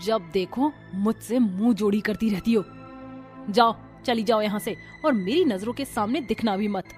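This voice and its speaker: A woman's voice: mid-range voice, moderate pace, darkish tone, faint music behind, natural voice. A woman playing a mean, stingy young sister-in-law who taunts and scolds in a cartoon moral story. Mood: scornful. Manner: taunting, scornful.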